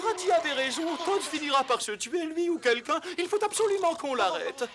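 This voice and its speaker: A timid, high-pitched cartoon mole. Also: sing-song, fairly quick, background noise. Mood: alarmed, fretful. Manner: hesitant, fretful, exclaiming. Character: timid, kindly, anxious young mole gentleman. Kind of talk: cartoon dub acting. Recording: loud music behind. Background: loud music.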